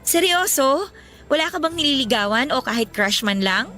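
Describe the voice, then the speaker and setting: A sassy young female student in a radio romance. She has a high, bright voice and an expressive, feisty way of talking. Music runs clearly underneath her. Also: normal speed. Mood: teasing. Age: young adult. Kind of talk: Tagalog radio drama acting.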